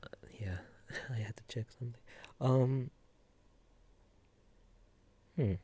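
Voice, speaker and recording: A young man with a Finnish accent chatting casually on a live stream, soft-spoken and giggly, hesitating often. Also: medium pitch, takes it slowly, slightly rough. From Finland.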